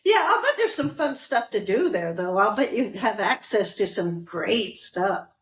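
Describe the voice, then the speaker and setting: A woman's voice: medium pitch, sing-song, a little slow, fairly bright tone, roomy sound. A woman hosting a podcast, chatting casually and upbeat with a guest, often laughing. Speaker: podcast host. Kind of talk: casual interview chat.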